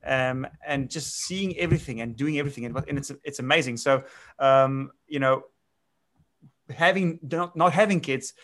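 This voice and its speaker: Guest, South African man, late 30s, Afrikaans native; middle-pitched, loose and rambling. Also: moderate pace, a bit bright. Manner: chatty, rambling, with many restarts and fillers. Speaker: winemaker guest. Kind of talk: casual interview chat.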